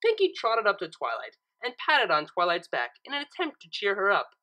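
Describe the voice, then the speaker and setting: A woman reading fan fiction, with expressive narration and casual commentary in a theatrical, emphatic manner. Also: medium pitch, fairly quick.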